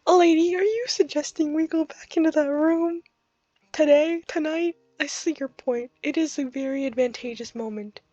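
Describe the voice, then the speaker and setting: A woman's voice: sits high, normal speed, dark tone, no echo, muffled recording, voice pushed up, lightly acted. A woman voicing an anxious, hesitant young heroine in a visual novel. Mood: nervous, incredulous.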